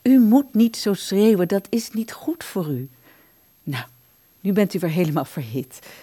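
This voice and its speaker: A scolding, caretaking nurse in a radio play. Her mid-pitched voice swings up and down in a lively way as she fusses. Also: measured pace, slightly rough. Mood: fussy concern. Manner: chiding, caretaking. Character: fussing, scolding female nurse soothing a patient.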